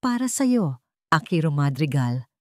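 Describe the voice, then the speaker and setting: A woman's voice: low-pitched, big pitch swings, measured pace, no room sound, clean studio sound. A woman voicing a greedy, mocking young fiancée in an audio love story, gloating and sugary. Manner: gloating, sugary when deceiving. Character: greedy, scheming young gold-digger fiancée, smug and mocking.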